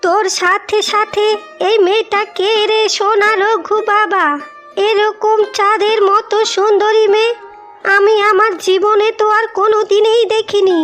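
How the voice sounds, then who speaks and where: A woman's voice: very high-pitched, level tone, unhurried, fairly bright tone, faint music behind. A woman voicing a spiteful, greedy older village mother-in-law in a melodramatic cartoon. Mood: admiring. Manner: scolding, threatening.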